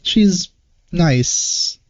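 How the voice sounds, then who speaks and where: A man giving casual reaction commentary as a video host, in a hesitant, low-key manner. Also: lively intonation, very slow, no echo, highs cut off. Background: game trailer music.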